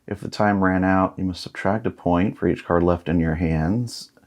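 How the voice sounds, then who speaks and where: A man in his thirties with a deep, low voice. He talks casually as an unboxing host, musing aloud in a laid-back way and sometimes reading from what is in front of him. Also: moderate pace. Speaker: board game unboxing host.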